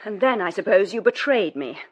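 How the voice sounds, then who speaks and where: A woman's voice: mid-range voice, expressive, brisk, lo-fi sound, natural voice. An actress playing an earnest, insistent English sister managing her family in a period radio drama. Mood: reproachful, resigned.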